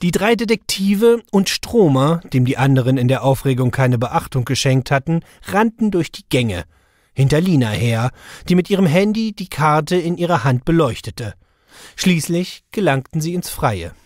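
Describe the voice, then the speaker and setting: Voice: medium pitch, sing-song, normal speed, darkish tone, no echo, clean studio sound. A narrator reading a scripted children's detective story for an audio play. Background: music.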